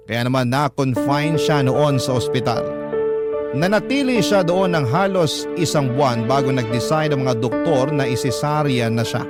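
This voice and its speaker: A man narrating a scripted personal story as a radio storytelling host. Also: fairly high pitch. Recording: loud music under the voice.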